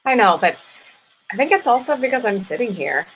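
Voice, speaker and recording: Lady acting a calm guarded woman in a play reading, wry and a bit hesitant, everyday delivery. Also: fairly high pitch, fairly quick, a little hiss or hum, low-quality recording. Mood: calm, sincere. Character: guarded, serene woman meeting an old friend. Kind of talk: live play reading, naturalistic dialogue.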